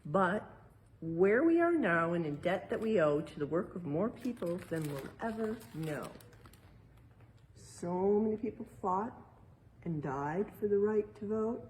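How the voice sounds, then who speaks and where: Female educator, American, slightly low voice. Picture-book read-aloud with simple explanatory asides, background noise. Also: lively intonation, unhurried, a little mellow, poor audio. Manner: simple, explanatory, addressing young listeners.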